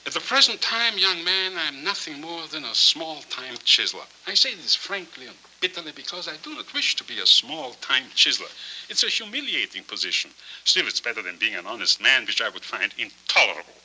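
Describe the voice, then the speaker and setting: An actor in a 1940s film noir playing a cynical, fallen small-time swindler with formal, theatrical, silkily courteous speech. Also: male voice, fairly high pitch, sing-song, fairly quick, pushed and bright, heavily acted, low-quality recording. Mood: grandiose, bitter. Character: florid, cynical small-time chiseler, fallen grand scoundrel. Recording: hissy 1940s film soundtrack.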